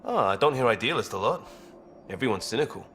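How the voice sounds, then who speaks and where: A man's voice: medium pitch, expressive, quick pace, a bit bright, slight background noise. A man playing an earnest, loyal young crisis-response crewman, plain-spoken and informal, in a video game. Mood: wry. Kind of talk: video game cutscene acting.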